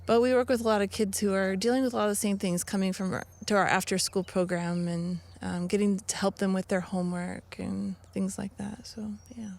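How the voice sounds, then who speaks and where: A woman, an officer with a charity, answering interview questions earnestly, with halting restarts and fillers. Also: medium pitch, level tone, gravelly, a little mellow. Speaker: Salvation Army officer.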